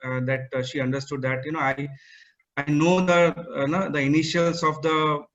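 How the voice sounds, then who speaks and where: Male presenter, flat delivery, filler-heavy, muffled call line. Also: on the high side, normal speed. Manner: hesitant, many fillers and restarts. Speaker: guest speaker. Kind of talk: online meetup presentation. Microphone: video call.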